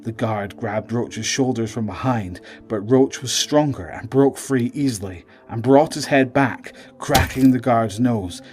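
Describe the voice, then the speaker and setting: A man's voice: medium pitch, measured pace, few pauses, darkish tone. Man narrating horror drama over music.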